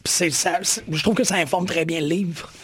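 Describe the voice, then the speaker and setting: A man with a Quebec French accent interviewing an author, eager and quick, often finishing the guest's sentences. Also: on the high side, fairly bright tone. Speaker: interviewer, host. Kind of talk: casual interview chat.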